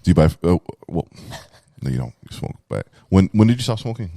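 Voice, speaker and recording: A man with a very deep voice co-hosts a casual podcast. He asks his questions bluntly and offhandedly. The recording is dry and close. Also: very animated, fairly quick, vocal fry, mellow, dry recording.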